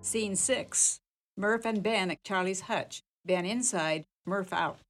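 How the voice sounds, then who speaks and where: A woman's voice: mid-range voice, takes it slowly, faint music behind. A woman reading scene headings and stage directions as the narrator of a radio play.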